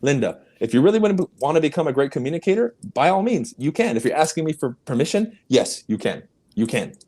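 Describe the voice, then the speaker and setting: A man's voice: very animated, fast, dark tone. A man whose first language is Spanish, speaking English as an emphatic, punchy real estate coach, a guest on a podcast. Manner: emphatic, punchy, sweeping pitch jumps. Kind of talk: motivational podcast interview.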